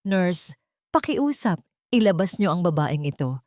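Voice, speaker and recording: A woman's voice: lowish voice, very animated, unhurried, a little mellow, no room sound. A cold man in a hospital bed, acted by a female narrator, speaking sharply and dismissively. It is a dry, close audiobook recording. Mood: alarmed, cold. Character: cold male hospital patient rejecting a visitor.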